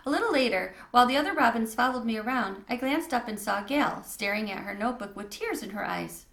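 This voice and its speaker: A woman's voice: fairly high pitch, lively intonation, a little fast, gravelly, fairly bright tone. Woman reading a children's story, echoey room. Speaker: read-aloud storyteller.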